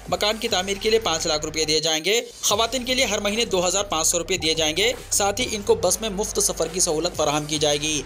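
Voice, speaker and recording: A man's voice: high voice, pushed and bright, slight background noise. A man reads a scripted news bulletin in a formal, declarative way. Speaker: news reader.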